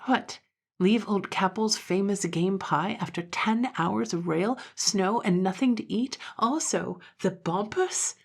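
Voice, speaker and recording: A woman's voice: mid-range voice, slow, creaky, breathy edge, exaggerated voice. A woman voicing a posh, languid young male dandy from a storybook, drawling blustering upper-class slang. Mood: grumbling indignation.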